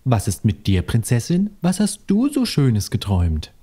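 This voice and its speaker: A man's voice: medium pitch, wildly swooping pitch, normal speed, dark tone, breathy edge. A man playing a genial, fatherly king in a children's cartoon dub. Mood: dreamy, cheerful. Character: fatherly king, genial, easily flustered husband and dad. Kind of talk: German children's cartoon dubbing. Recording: music behind the voice.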